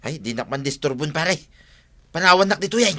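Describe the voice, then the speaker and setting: A man's voice: a little high, lively intonation, fairly quick, sharp tone. A man with an Ilocano accent playing an evasive, lovestruck village husband in a comedy radio drama. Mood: dismissive.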